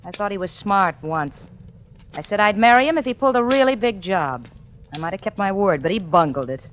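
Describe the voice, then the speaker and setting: An actress playing a cold, manipulative femme fatale in a 1940s radio drama. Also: female voice, medium pitch, lively intonation, fairly quick, natural voice. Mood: cold, impatient. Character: cold, scheming female femme fatale, sweet when manipulating. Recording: noisy, clicky old radio recording.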